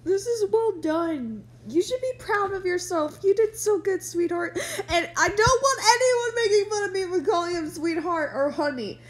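A woman's voice: very high voice, expressive, normal speed, few pauses. A woman giving a casual, sweary reaction commentary and reading text aloud, sounding sniffly with a cold. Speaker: reaction video host.

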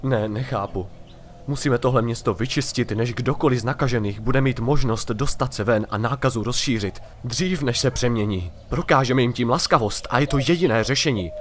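A man's voice: mid-range voice, slight background noise, natural voice. A man playing a proud, hot-tempered young prince in a fantasy audio drama, speaking coldly and imperiously. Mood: grimly resolved. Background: music.

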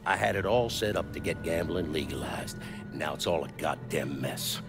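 An actor playing a blunt, weary middle-aged mob boss in a dramatic video game. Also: male voice, a bass voice, lively intonation, normal speed, over music. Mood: bitter, brooding.

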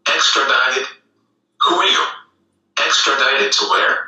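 Guy playing defiant american in an audition scene, really bright tense voice, far from mic. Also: medium pitch, fairly even intonation, a little slow. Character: defiant American man covering for his companion. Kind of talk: acted film audition dialogue. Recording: echoey room, far from microphone.

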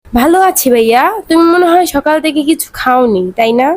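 A gentle young little sister from a cartoon, voiced by a woman, with a high voice and quick delivery. She sounds caring and solicitous. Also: natural voice. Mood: concerned. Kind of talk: cartoon voice acting.

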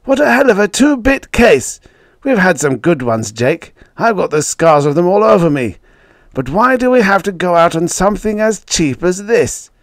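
A man voicing a heavy old district attorney in an audiobook story, blunt and gruff, wheezy and short of breath. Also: medium pitch, animated, moderate pace, no room sound, clean studio sound, pitched above the performer's own voice, natural voice. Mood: indignant. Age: older. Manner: blunt, exasperated, forceful.

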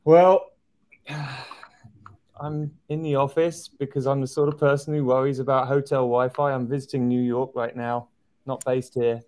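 A middle-aged man guesting on a video call from an office, speaking in a thoughtful, measured way. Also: mid-range voice, little pitch movement, darkish tone. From outside the US. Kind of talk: casual chat on a video call. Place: office indoors. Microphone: video call webcam.

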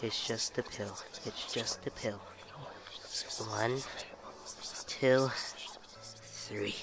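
A man playing a brash, sassy, crude-mouthed flamboyant demon in an animated comic dub. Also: fairly low pitch, extremely slow, whispered, over music. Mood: anxious. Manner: sassy, snappy, swearing.

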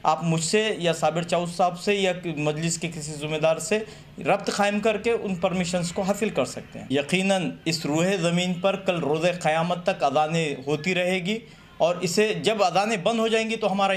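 A man's voice: high voice, normal speed. A man giving a methodical, formal statement to the press. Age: 30s. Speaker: political party representative. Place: small office room, seated at a table. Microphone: press microphones on the table. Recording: clearly noisy room recording.

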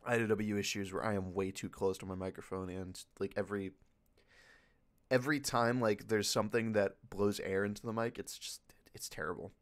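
A man who makes comic dubs, chatting in a relaxed way from a home microphone. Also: fairly low pitch, fairly quick. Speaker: comic dubber on panel. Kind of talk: casual online panel chat. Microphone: home mic with audio interface.

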